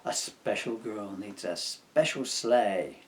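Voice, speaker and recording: A man voicing a big, kindly, jolly old Santa with a hearty, booming manner in a storybook reading. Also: slow pace. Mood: hearty, commanding.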